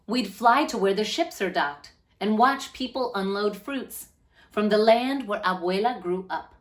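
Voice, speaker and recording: A woman with a medium-pitched voice reads a picture book aloud to children. Her delivery is expressive and deliberate, lingering on key words as a storyteller does. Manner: animated, deliberate phrasing with drawn-out words.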